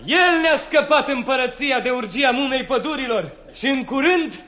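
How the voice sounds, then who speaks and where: An actor playing an emperor, ceremonious when raising a toast and grave when speaking privately, in a 1960s radio drama. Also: male voice, very high-pitched, animated, full character voice. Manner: ceremonious, then grave and quiet. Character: old emperor, grateful and solemn. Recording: old radio recording with a crowd behind.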